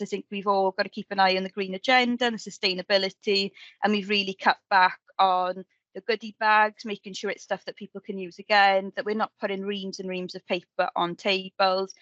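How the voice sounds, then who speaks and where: A woman's voice: medium pitch, a bit bright, no echo. Female presenter, informal and upbeat recap style, emphatic delivery; dry, slightly lo-fi sound. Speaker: committee member presenting at an annual meeting.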